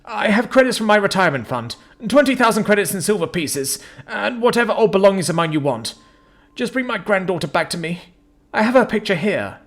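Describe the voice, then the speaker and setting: A man's voice: high voice, sing-song, fairly quick, fairly bright tone, pitched above the performer's own voice, heavily acted. A man voicing a frail, desperate old male amphibian creature with a quivering, hissing voice in a storybook reading. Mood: desperate pleading.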